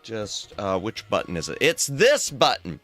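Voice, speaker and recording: A man's voice: fairly low pitch, wildly swooping pitch, a little slow, a bit bright, soft background music. A man livestreaming, tired and straining his throat, chatting casually in a playful, rambling way and humming to himself. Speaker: streamer.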